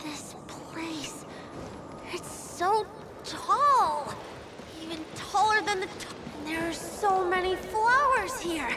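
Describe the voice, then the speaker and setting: A woman voicing a gentle, awed little girl in a video game cutscene, speaking slowly and with wonder. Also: extremely high pitch, animated, background noise, exaggerated voice.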